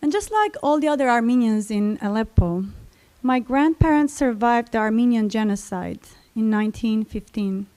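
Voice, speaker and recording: A woman from Syria, telling a personal story to an audience in a slow, reflective way. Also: on the high side, lively intonation, mellow. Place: library event stage.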